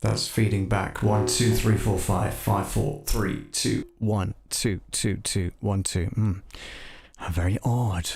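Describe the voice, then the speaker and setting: A man hosting a casual live software demo, thinking aloud in a halting way and muttering test counts as he troubleshoots. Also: on the low side, fairly even intonation, gravelly. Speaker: live stream host and audio tutor.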